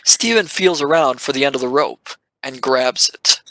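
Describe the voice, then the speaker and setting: A man's voice: medium pitch, moderate pace, a bit bright, no echo, rough recording. A man reading scripted narration for an audio drama.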